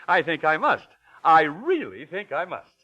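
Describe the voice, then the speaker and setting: A man playing a smooth, pompous con man posing as a professor in 1940s radio drama, wordy, grand and given to hesitant stammers. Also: a little high, huge pitch swings, measured pace, pushed and bright, soft background music, exaggerated voice. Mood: grandiose. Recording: muffled vintage 1940s radio recording.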